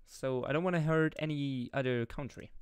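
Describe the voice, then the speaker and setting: Male Swiss German game streamer, clean smooth voice, dry close sound, casual teasing banter. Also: mid-range voice, fairly even intonation, moderate pace, dry recording. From Switzerland. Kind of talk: casual banter while gaming online.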